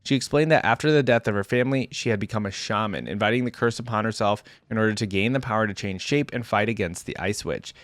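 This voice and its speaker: A man's voice: lowish voice, fast, hardly any pauses, dry recording. A man hosting a reaction video, reading a story aloud and adding casual, informal comments.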